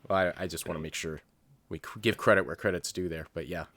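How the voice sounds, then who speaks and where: A man's voice: sits low, steady tone, fast talker, a bit bright, dry recording. A man co-hosting a casual, unscripted TV review, thinking aloud in a hesitant, rambling way. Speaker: co-host of a review show.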